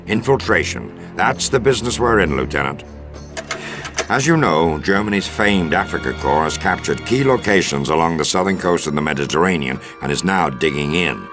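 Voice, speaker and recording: An actor playing a stern, composed American army intelligence colonel, giving a formal, measured mission briefing in a video game. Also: male voice, extremely low pitch. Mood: formal, resolute. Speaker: military officer giving a briefing.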